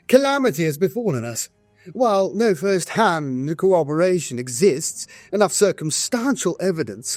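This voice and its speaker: An alarmed, foreboding military messenger in a war audio drama, acted by a man, with a high, swooping voice. The dispatch is urgent and theatrical, full of portent. Faint music plays beneath the dry recording. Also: a little mellow, dry recording. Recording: music behind a studio voice.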